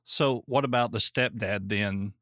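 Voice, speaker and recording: A man's voice: on the low side, measured pace, no room sound, studio quality. Man hosting an interview. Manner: relaxed, asks short questions. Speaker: podcast host.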